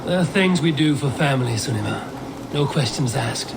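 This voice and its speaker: An actor playing a loyal, protective Viking warrior in a video game cutscene, speaking in a measured, solemn way. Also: male voice, medium pitch, lively intonation, gravelly, background noise. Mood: grave, resolute.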